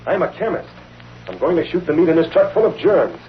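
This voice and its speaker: An actor playing a cool, calculating criminal chemist in an old-time radio crime drama. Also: male voice, fairly high pitch, sing-song, a little fast. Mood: cool, scheming. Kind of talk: 1930s-40s radio crime drama acting. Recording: muffled, hissy old radio recording.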